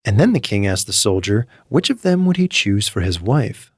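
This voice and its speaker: Expressive low-voiced man telling a fairy tale. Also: normal speed, slightly rough, dry recording, studio quality. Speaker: storyteller, narrator. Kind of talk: scripted fairy tale narration. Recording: music behind the voice. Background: background music.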